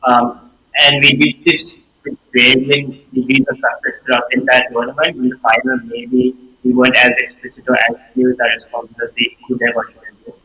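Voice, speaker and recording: A male student debater from Sri Lanka answering steadily and deliberately. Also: medium pitch, flat delivery, sharp tone, low-quality recording. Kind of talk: reflective interview answer.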